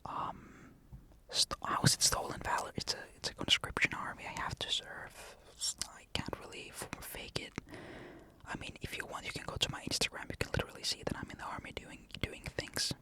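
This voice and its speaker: A young man from Finland with a Finnish accent, answering comments in a casual, matter-of-fact ASMR whisper. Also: fairly quick. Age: young adult. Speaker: ASMR creator. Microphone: USB condenser mic.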